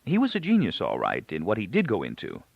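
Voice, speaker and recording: Man voicing a thoughtful young male student, audiobook dialogue, creaky voice, very varied intonation, earnest explaining, dull sound. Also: on the low side, huge pitch swings, fast talker, no echo, heavily acted. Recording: dull, lo-fi, close dry recording.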